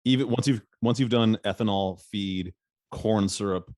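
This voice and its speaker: A man's voice: lowish voice, moderate pace, a little mellow, no echo, studio quality. A man hosting a podcast, talking in a loose, rambling way and laughing often. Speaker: podcast host. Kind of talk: casual interview chat.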